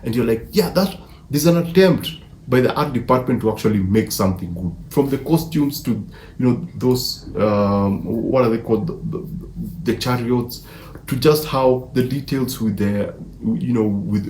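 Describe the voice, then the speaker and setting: A man's voice: mid-range voice, normal speed, a little mellow. A man giving a casual movie review in an enthusiastic, emphatic way, often repeating words mid-sentence. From Africa. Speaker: film reviewer. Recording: echoey room, faint background noise.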